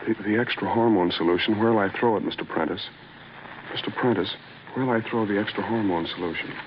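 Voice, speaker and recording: A man's voice: on the low side, fast, close to an everyday voice. An actor playing a meek, bewildered young male assistant, hesitant and plaintive, in an old radio drama. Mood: deferential, insistent. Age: young adult. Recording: muffled, noisy old radio recording.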